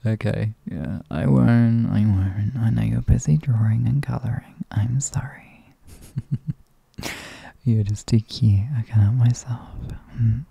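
A man voicing an affectionate, teasing partner in an intimate roleplay, speaking softly and often in a whisper. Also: mid-range voice, vocal fry, husky, no room sound, full character voice. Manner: soft, often whispered, coaxing, chuckling. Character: affectionate teasing male partner, doting, playful. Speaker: audio roleplay performer.

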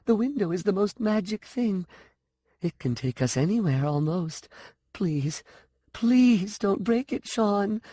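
A man's voice: sits high, lively intonation, slow pace, warm tone, dry recording, voice pushed up, full character voice. A crazy old sorceress out of a storybook, played by a man, coaxes and wheedles. She is condescending yet childlike, and the recording is dry and close to silent around the words. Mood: frightened, begging. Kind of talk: audiobook character dialogue.

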